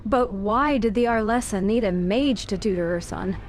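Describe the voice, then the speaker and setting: A woman's voice: mid-range voice, moderate pace, a little hiss or hum, natural voice. A woman voicing a composed young female warrior hero in a fan-voiced video game. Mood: calm. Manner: calm, direct, questioning.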